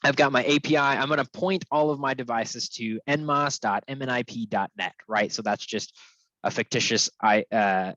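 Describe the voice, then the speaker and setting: A man's voice: mid-range voice, normal speed, fairly bright tone, dry recording. A man presenting technical material in an informal, conversational webinar style, often checking in as he explains. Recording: dull, lo-fi, dry close sound.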